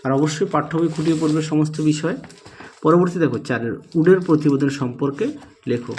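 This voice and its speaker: A man's voice: on the high side, fast, darkish tone, slight background noise. A man teaching, dictating exam answers and often repeating key points. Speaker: teacher presenting exam solutions. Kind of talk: instructional walkthrough of questions and answers.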